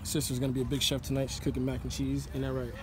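A man's voice: mid-range voice, completely flat delivery, very fast. A young man in his twenties chatting casually and with slang as he vlogs from a car and a big warehouse store on a handheld phone. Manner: laid-back, slangy, instructive asides. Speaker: vlogger. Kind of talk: casual vlog chat. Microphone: handheld phone camera at arm's length. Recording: noisy store and car background.

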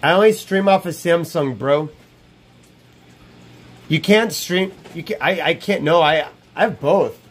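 A man's voice: on the high side, measured pace, long pauses, husky. A man in his thirties live streaming from a small room over an earbud mic, talking in a brash, profane way and repeating himself often. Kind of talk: rambling live stream chat. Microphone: wired earbud headset mic.

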